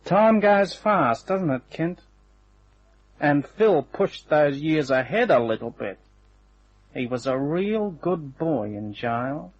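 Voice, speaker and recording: A husky smug hired thug from a radio crime play. He takes his time over every slangy threat, menacing and taunting. The recording is dull and narrow, like old radio. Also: fairly high pitch, expressive, slow pace. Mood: taunting, gloating.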